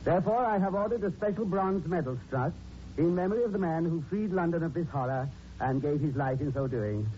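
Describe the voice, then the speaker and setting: Man playing a pompous Lord Mayor, dark-toned. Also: on the high side, expressive, fairly quick, close to an everyday voice. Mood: solemn, pompous. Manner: pompous, formal, declamatory. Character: pompous, solemn Lord Mayor reading a proclamation. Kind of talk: 1940s radio drama acting. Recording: dull hissy 1940s radio recording.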